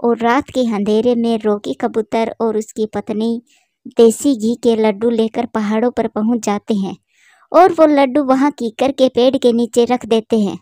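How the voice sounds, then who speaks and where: A woman narrating a children's cartoon story in a steady, plain storytelling manner. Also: a little high, measured pace, dry recording, studio quality.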